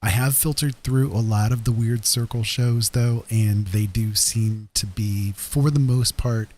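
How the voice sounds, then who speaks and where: A man's voice: mid-range voice, steady tone, measured pace, darkish tone. A man hosting a casual late-night livestream, rambling informally and a little sweary. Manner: rambling, informal, mildly sweary, self-amused. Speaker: live stream host.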